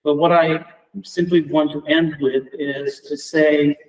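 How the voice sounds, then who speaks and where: A middle-aged man from Romania with a Romanian accent, a native Romanian speaker giving a reflective memorial tribute as an English department lecturer, measured and halting with restarts. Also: fairly high pitch, flat delivery, far from the mic, low-quality recording. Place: lecture room at a memorial round table.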